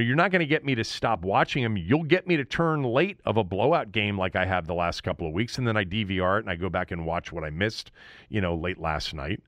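A man's voice: lowish voice, no echo, clean studio sound. A man with an American accent giving an opinionated sports monologue as a host, speaking emphatically and repeating phrases for weight. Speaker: sports podcast and radio host.

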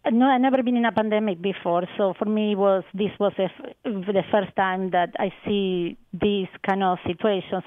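A woman's voice: medium pitch, dry recording. A woman from Argentina, a research associate, answering interview questions on a call, hesitant with many fillers and restarts. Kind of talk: radio interview guest. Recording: tinny phone line.

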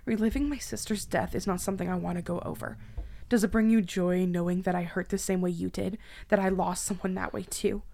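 A woman acting a hurt, angry young woman who confronts her dangerous ex in a dark audio drama, with raw emotion. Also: lowish voice, steady tone, brisk. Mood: guarded, hurt. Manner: emotional, swinging between breathy whispers and outbursts.

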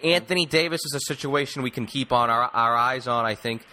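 A man's voice: mid-range voice, fast, sharp tone. A man hosting a casual sports interview, putting wordy, opinionated questions to his guest. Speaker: sports show host.

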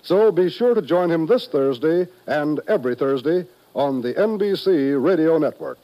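A man's voice: on the high side, expressive, normal speed, a little hiss or hum. A man with an American accent announcing on 1950s radio in a polished, scripted promotional style. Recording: dull vintage radio recording.

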